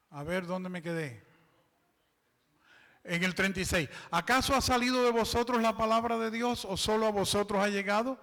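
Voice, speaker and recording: A man's voice: high-pitched, pushed and bright. A man preaching as a pastor, reading scripture and asking the congregation to fill in the words. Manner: reads scripture aloud and explains it. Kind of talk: sermon. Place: church hall. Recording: long reverb of a church hall.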